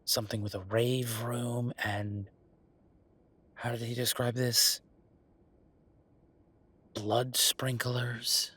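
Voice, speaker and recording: A man's voice: mid-range voice, level tone, very slow, pauses often, pushed and bright, breathy edge, heavily acted. A man playing a wry, affectionate werewolf bureaucrat in an intimate roleplay audio drama, talking in a casual, teasing, conversational way. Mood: wry, concerned.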